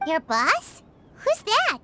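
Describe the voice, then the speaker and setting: Childlike fairy game companion, very high squeaky voice, animated, cheeky, exclamatory. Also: female voice, takes it slowly, background noise, heavily acted. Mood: puzzled. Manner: exclamatory, talks of self in third person. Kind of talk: video game voice acting.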